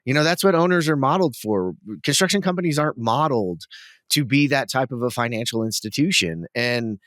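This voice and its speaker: Man, loud and keen, mid pitch, dry close sound, podcast co-host. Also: lively intonation, fairly quick, dry recording. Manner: loud, enthusiastic talker. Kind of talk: casual podcast chat.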